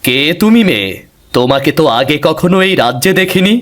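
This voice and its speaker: A man's voice: on the high side, animated, normal speed, a bit bright. A man playing a worried, commanding king and father in a fairy-tale cartoon, grave and regal.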